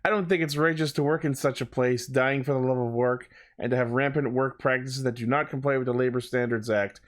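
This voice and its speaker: A man's voice: medium pitch, fairly even intonation, fairly quick. A man co-hosting a podcast, chatting casually about fan news. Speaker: podcast co-host.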